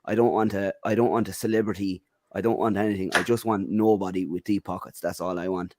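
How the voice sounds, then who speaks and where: A man's voice: sits low, steady tone, quick pace, noisy background. An Irish man on a football podcast panel, giving firm opinions with lots of "um" and "you know". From Ireland. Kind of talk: casual football podcast chat.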